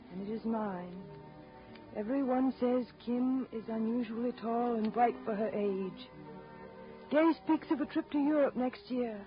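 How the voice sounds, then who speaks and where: A woman's voice: fairly high pitch, close to an everyday voice. An actress plays a devoted, anxious young wife and mother in an old radio drama. Her delivery is earnest and pleading, theatrical in the 1930s manner. The recording is dull and lo-fi, with music behind her.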